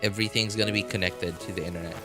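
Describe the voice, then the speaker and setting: Rambling low-voiced male streamer. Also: steady tone, very fast. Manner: rambling, restarts and filler words. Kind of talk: casual chat while gaming on a live stream. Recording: loud game music behind. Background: loud game music.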